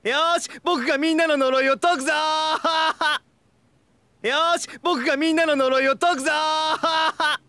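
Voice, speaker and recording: A man playing a hyperactive, cheerful, childlike young goofball in an anime mobile game, with a bright boyish voice and an exuberant manner. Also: very high-pitched, fairly even intonation, measured pace, no room sound, exaggerated voice. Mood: wildly excited. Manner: exuberant, bursting into shouts and laughs.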